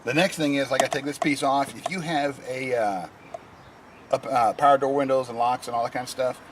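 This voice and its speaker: Male DIY vlogger, mid-pitched, folksy and informal, explaining hands-on steps; clearly noisy in-vehicle recording. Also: fairly even intonation, fairly quick. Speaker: DIY vlogger demonstrating a car repair. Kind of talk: casual hands-on tutorial talk. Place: inside or beside a Jeep.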